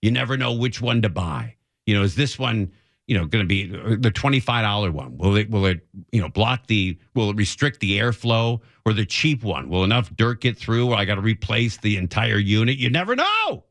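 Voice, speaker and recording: A man's voice: lowish voice, sing-song, normal speed, a bit bright, no echo, studio quality. A man hosting a radio talk show, giving off-the-cuff, opinionated commentary in a conversational way and repeating phrases for emphasis. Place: radio studio.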